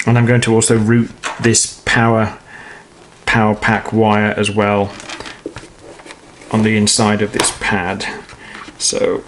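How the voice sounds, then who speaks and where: A man's voice: fairly low pitch, monotone, slow pace, pauses often, a bit bright. British man demonstrating, noisy recording. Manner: matter-of-fact, explanatory. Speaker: presenter of a how-to product installation video. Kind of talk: informal step-by-step demonstration commentary.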